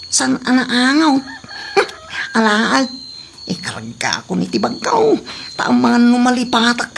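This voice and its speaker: A woman's voice: on the high side, wildly swooping pitch, measured pace, gravelly, background noise, exaggerated voice. A woman playing a sneaky, whining male thief in a comedy audio drama, muttering and exclaiming. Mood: gleeful, sneaky.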